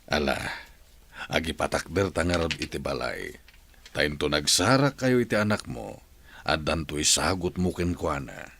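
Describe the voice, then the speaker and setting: An actor playing a caring, reassuring friend in a radio drama, earnest and consoling, with an Ilocano accent. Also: male voice, rumbling low, animated, moderate pace, creaky, fairly bright tone, slight background noise, exaggerated voice. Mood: encouraging. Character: caring, reassuring male friend of a troubled woman.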